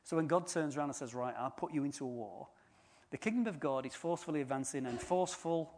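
A man's voice: a little high, lively intonation, fast, a little mellow, a little hiss or hum. A man giving an informal sermon, chatty and joking with the congregation. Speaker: preacher.

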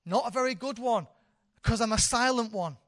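A woman's voice: on the high side, normal speed, no room sound, clean studio sound. A woman in her thirties, a church leader, giving an informal, candid sermon with self-deprecating humour. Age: 30s.

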